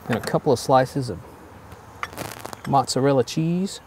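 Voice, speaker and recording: Cooking host, man in his 40s, easygoing step-by-step delivery, mid-pitched, faint hiss behind him. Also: unhurried. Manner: relaxed step-by-step instruction. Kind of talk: casual cooking demonstration. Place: home kitchen, indoors.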